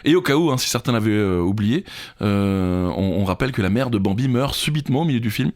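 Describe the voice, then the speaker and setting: A man's voice: on the low side, lively intonation, fast, darkish tone. A man co-hosting a quiz podcast, telling trivia in an informal, jokey way. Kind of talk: casual quiz banter with trivia anecdotes.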